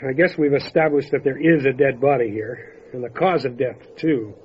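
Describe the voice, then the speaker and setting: Man playing a calm country sheriff, lo-fi radio. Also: mid-range voice, moderate pace, lightly acted. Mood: grimly matter-of-fact. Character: calm, dry, matter-of-fact male country sheriff investigating a death. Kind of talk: old-time radio drama acting. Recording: dull lo-fi radio drama recording, faint noise.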